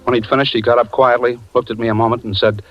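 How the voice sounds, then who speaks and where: An actor narrating as a shaken man recalling a strange tale, measured and grave, in 1940s radio drama style. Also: medium pitch, little pitch movement, soft background music, lightly acted. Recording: dull old radio broadcast.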